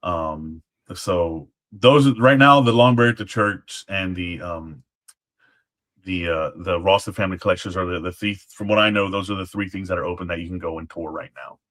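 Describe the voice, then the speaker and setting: A man speaking as an interview guest, explaining history casually in halting phrases with trailing asides. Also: low-pitched, fairly bright tone. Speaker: guest, former Alamo guard.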